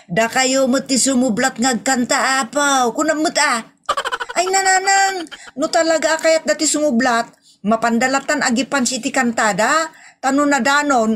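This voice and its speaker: A woman's voice: high-pitched, a bit bright, slight background noise. A woman acting a brash, argumentative young woman who loves karaoke, in an Ilocano comedy audio drama, with a whiny, exclaiming delivery. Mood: bickering, complaining.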